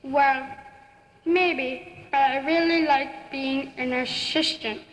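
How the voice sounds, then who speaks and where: A woman voicing a gentle young vet's assistant in a live puppet show, speaking slowly in halting, simple phrases. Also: high-pitched, fairly even intonation. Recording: echoey hall, faint noise.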